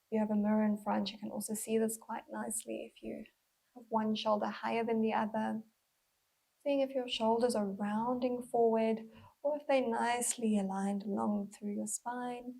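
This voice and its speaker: A woman with a fairly high, husky voice that often drops into creak. She guides a mindful body scan gently, leaving unhurried pauses between short, breathy phrases. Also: little pitch movement, rough recording. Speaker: mindful movement instructor.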